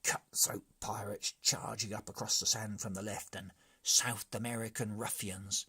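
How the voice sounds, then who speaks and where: An inventive young captive, acted by a man reading aloud spins a tall tale in a storybook. The delivery is earnest and measured, with a husky grain to the voice. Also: fairly low pitch, flat delivery, bright, forceful tone, exaggerated voice. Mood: dramatic. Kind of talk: storybook character voice.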